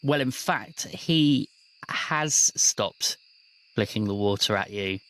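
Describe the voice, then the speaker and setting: A man acting as game master, narrating an improvised tabletop game in a casual, halting way. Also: fairly low pitch, animated, fairly bright tone.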